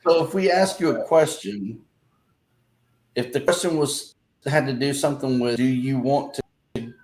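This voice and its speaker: A man's voice: mid-range voice, measured pace, darkish tone. A man with an Alabama Southern accent interviewing a guest, chatty and playful, acting out local phrases. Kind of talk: casual interview. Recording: roomy echo.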